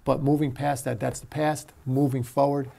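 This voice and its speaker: A middle-aged American man, a fire chief, speaking in a sit-down interview in a halting way, repeating his phrases. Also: mid-range voice, level tone, gravelly, dark tone.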